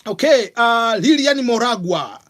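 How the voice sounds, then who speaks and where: A middle-aged man from Tanzania with a Tanzanian accent, hosting a live vlog and answering viewer questions in a casual, halting way full of fillers. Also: very high voice, animated, fairly bright tone. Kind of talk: live Q&A chat.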